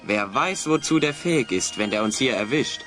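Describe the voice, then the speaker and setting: A man's voice: medium pitch, lively intonation, normal speed, sharp tone, faint music behind. An actor playing a sensible, cautious hero in an audio drama, urging his companions along. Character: level-headed, cautious male adventure hero. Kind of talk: German audio drama acting.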